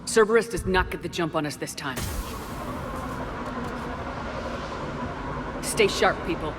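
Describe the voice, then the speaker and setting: Low-voiced, hard woman military commander, played by an actress. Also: a little fast, heavy background noise, natural voice. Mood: commanding, focused. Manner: terse, commanding. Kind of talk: video game voice acting.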